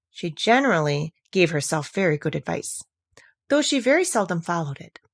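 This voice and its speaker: A woman reading a children's classic aloud as a storybook narrator, with expressive, deliberate emphasis. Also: mid-range voice, no echo, studio quality. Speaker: audiobook narrator.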